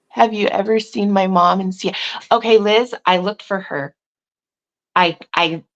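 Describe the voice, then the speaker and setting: A woman in casual conversation, thinking aloud with restarts and asides, who says she has a loud voice. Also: fairly low pitch, lo-fi sound. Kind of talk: casual chat.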